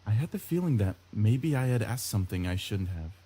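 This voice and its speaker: A man reading a first-person visual novel story aloud in an expressive narrating style. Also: on the low side, a little fast, breathy edge. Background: prominent plucked guitar music.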